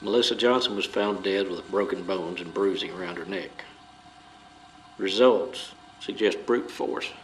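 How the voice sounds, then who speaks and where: Male actor as stern police interrogator, lowish voice, formal procedural delivery, audio drama, faint background noise. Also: measured pace. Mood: stern, accusing. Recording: clearly noisy background.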